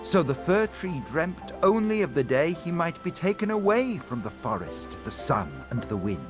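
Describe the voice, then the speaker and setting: A man playing a storybook narrator, telling an animated fairy tale in deliberate, dramatic phrases. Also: a little high. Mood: dramatic storytelling. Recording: prominent music behind narration.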